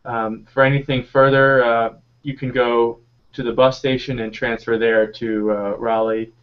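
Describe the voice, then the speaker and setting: Flat-voiced young man explaining things. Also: medium pitch, a little slow, clean tone, dull audio. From North Carolina, US. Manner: steady, explanatory, with many "uh" fillers. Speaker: graduate student panelist. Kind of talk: casual live Q&A panel.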